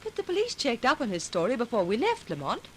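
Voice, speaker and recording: Inquisitive female sidekick: concerned, lively inflection, 1940s radio mystery style, faint recording noise. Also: on the high side, fast talker. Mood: curious. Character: concerned, sharp-eyed female companion of a sleuth. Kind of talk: 1940s radio drama acting.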